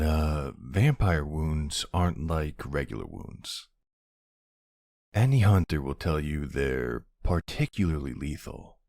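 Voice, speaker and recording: A man playing a centuries-old vampire hunter in an ASMR roleplay, gruff, wry and suspicious, questioning someone in a brusque, intimate way. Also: a bass voice, darkish tone, no room sound, clean studio sound, full character voice. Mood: wry, matter-of-fact. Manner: brusque, wry, slow interrogating delivery with pauses. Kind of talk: ASMR roleplay, intimate one-sided dialogue.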